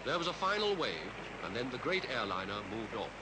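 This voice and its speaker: A man with a British Received Pronunciation accent reading scripted 1950s newsreel commentary in a formal, measured announcer style. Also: mid-range voice, very animated, very noisy. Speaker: newsreel narrator. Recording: vintage 1950s newsreel soundtrack with music.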